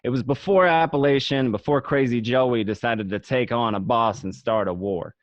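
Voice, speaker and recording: A man's voice: medium pitch, moderate pace, no room sound, close to an everyday voice. A man playing a nostalgic gangster who narrates his youth among mobsters in an amateur radio play. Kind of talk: first-person dramatic narration. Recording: dry, slightly dull home recording.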